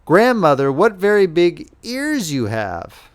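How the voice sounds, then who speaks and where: A man's voice: sits high, huge pitch swings, takes it slowly, pitched above the performer's own voice, heavily acted. A man voicing a sweet, innocent little girl in a fairy tale, polite and earnest. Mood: wondering. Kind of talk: storybook character voice in fairy tale reading.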